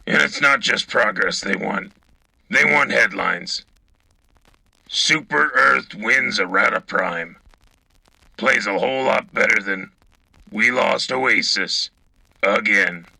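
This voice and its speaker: Man doing a gruff cynical war veteran, raspy gravelly voice, hard forceful edge, terse sardonic radio drama lines. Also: lowish voice, slow, pauses often, no echo, exaggerated voice, rough recording. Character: gruff, cynical battle-hardened male soldier co-host. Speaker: radio co-host reporting from the front.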